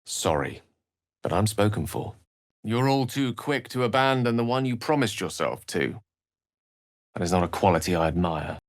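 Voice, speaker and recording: An actor with a British accent voicing a learned, proud, romantic wizard in a video game, with eloquent, slightly pompous phrasing. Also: male voice, lowish voice, expressive, normal speed, dry recording, clean studio sound. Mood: earnest, firm. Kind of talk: video game character voice lines.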